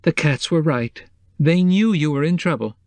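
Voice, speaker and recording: A man's voice: fairly high pitch, animated, normal speed, a little mellow, no echo, voice pushed up. A man voicing a fretful, motherly middle-aged woman who keeps house, in a raised voice. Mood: uneasy.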